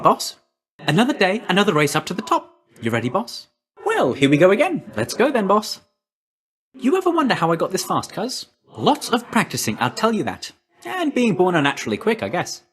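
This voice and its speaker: An actor playing a quick, chipper, cheeky young messenger god in a video game, with breezy, chummy, informal patter. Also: male voice, sits high, a bit bright, exaggerated voice. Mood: upbeat, encouraging. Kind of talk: video game character voice lines.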